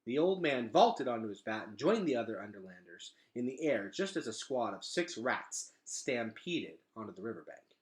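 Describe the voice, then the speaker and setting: A man reading a children's novel aloud in an expressive, dramatic storytelling style. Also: medium pitch, moderate pace. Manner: animated, dramatic delivery.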